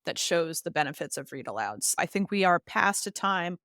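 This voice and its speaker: A woman's voice: on the low side, sing-song, normal speed, a bit bright, no room sound, studio quality. A woman giving articulate, earnest explanations as an expert guest in an interview. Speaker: literacy expert and author, podcast guest.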